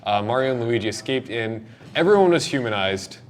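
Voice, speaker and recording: A man's voice: medium pitch, brisk. A young man hosting a casual panel discussion, speaking emphatically and with strong opinions, recorded in a noisy room. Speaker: host of a video game discussion show. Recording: noisy room recording, steady background noise.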